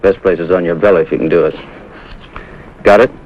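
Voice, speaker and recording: An actor playing a tough, blunt, seasoned American Marine with terse, slangy speech in a 1950s war drama. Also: male voice, low voice, steady tone, brisk. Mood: blunt, grim. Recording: muffled 1950s TV soundtrack, faint noise.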